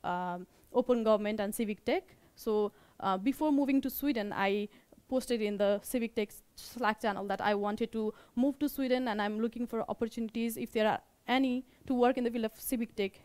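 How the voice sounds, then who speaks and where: A young woman with a Nepali accent gives an informal meetup presentation as an organizer, in a halting way, often repeating and rephrasing her words. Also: on the high side, darkish tone, smooth, no echo.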